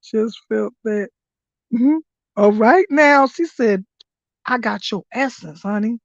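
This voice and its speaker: A hoarse woman hosting a live stream, talking in casual with loud, laughing, profane hype commentary. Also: on the high side, slow, no room sound. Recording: dry, dull lo-fi stream audio.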